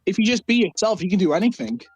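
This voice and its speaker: A man's voice: sits high, expressive, fast talker, a little mellow, husky, soft background music. A man who stutters and repeats words, chatting earnestly on a game livestream. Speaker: co-host. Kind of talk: casual livestream chat.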